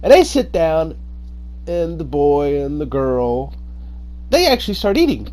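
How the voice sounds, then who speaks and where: A male storyteller with a very animated, swooping delivery. He tells his tale informally and dramatically, acting out what people say as he goes. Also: on the high side, unhurried. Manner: dramatic, acts out dialogue. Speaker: storyteller, host.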